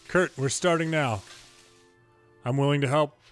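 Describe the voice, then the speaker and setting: A man reading story dialogue aloud from a video game in a playful way, switching pitch between characters and adding his own commentary. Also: takes it slowly. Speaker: longplay host reading a game's dialogue aloud. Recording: music behind the voice. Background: game music.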